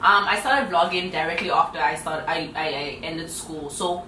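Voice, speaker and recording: A young woman with a South African accent, a podcast guest chatting in a lively, talkative way. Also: low-pitched, moderate pace, recorded from a distance. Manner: lively, talkative, laughs often. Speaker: guest, vlogger. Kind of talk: casual podcast chat. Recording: echoey room, voice from off-mic, light hiss.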